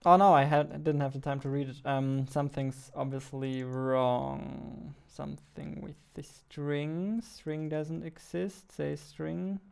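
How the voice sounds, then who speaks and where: A man's voice: on the high side, fairly even intonation, slow, gravelly, a little mellow, smooth. A man in his twenties thinking aloud casually as he works, a game developer recording a vlog on a headset. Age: 20s. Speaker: indie game developer, vlogger. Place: small indoor room at a computer.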